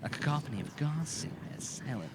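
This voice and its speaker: A man's voice: on the high side, animated, a little fast. A man narrating a fantasy audio drama from a script. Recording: clearly audible background noise.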